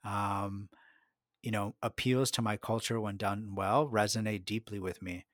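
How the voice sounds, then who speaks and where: A middle-aged man with a fairly low voice talks through his ideas as a podcast guest, hedging with "um" and "you know" and restarting his phrases. The recording is close-miked and dry, with no room sound. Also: fairly even intonation, a little slow, fairly bright tone, dry recording. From United States. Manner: thoughtful, many fillers and restarts. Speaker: market researcher, podcast guest. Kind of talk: conversational interview answer.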